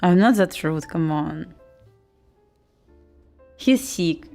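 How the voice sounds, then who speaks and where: A woman's voice: fairly low pitch, expressive, very slow, gravelly, a little mellow, faint music behind. A woman with a Russian accent hosting a casual trading livestream, chatting informally and commentating in slightly non-native English. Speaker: host, futures day trader.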